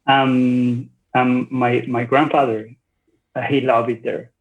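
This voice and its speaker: A forty-year-old man from Venezuela speaks as a podcast guest, slowly and with thoughtful hesitation. His voice sits at a medium pitch and comes through a dull, phone-like recording as he casually shares his story. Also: little pitch movement. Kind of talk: casual personal storytelling in interview.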